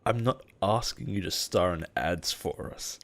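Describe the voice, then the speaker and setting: A man playing a possessive, persuasive boss in an intimate audio roleplay, speaking in a measured, earnest way with deliberate pauses. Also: low voice, fairly bright tone. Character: possessive, persuasive male CEO boss, smooth and protective. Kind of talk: intimate dramatic roleplay monologue.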